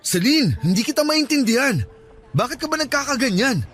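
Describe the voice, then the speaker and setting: A man's voice: extremely high pitch, expressive, brisk, a bit bright, a little hiss or hum. A man playing a playful, insistent and oblivious middle-aged suitor in a Filipino radio romance drama, coaxing and teasing. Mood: insistent, puzzled.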